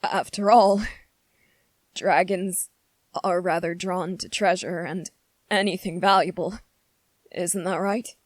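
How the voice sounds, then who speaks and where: A woman voicing a gentle, anxious young prince in a fantasy ASMR roleplay, speaking politely and formally with hesitant, halting phrasing. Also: on the low side, steady tone, dry recording. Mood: careful, hesitant. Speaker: voice actor in audio roleplay. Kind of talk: ASMR character roleplay, scripted fantasy monologue.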